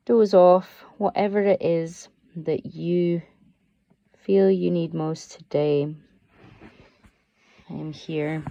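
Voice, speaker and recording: A woman guiding a relaxation meditation as a yoga teacher, soothing and unhurried, offering gentle, permissive instructions. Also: low-pitched, gravelly, no echo.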